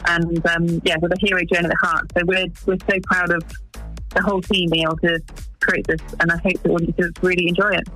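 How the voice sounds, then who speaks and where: A woman in her forties who grew up in East Africa, speaking as a wildlife filmmaker in an interview, earnest and pausing to find her words. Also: fairly low pitch, little pitch movement, pushed and bright, soft background music, low-quality recording. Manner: earnest, searching for words with restarts. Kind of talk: interview guest.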